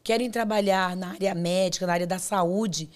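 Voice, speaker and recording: A woman, a podcast guest who runs a school, speaking warmly and affirmingly in a casual interview. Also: on the low side, steady tone, normal speed. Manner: warm, affirming backchannels.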